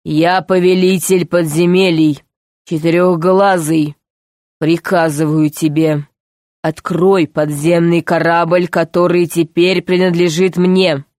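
A woman voicing a calm, mockingly sweet male underground tyrant in a storybook, speaking in a slow, deliberate, commanding way. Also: fairly low pitch, no echo, clean studio sound. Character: calm, menacing male underground ruler, mockingly sweet, power-hungry villain.

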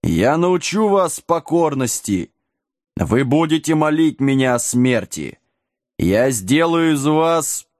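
A man playing a huge, ancient dark god in a fantasy audiobook, brooding and imperious, with a slow drawl. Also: mid-range voice, animated, dry recording, clean studio sound. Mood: wrathful. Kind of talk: audiobook character acting.

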